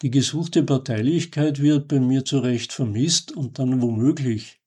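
A man reading a scripted commentary as a radio host, in a measured, formal and emphatic way. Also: medium pitch, warm tone, breathy edge.

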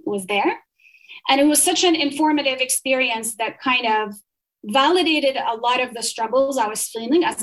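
A woman speaks in a somewhat high voice. She is earnest and measured, reading poetry and then explaining her research, stumbling and restarting now and then. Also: fairly bright tone, rough recording. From Saudi Arabia. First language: Arabic.

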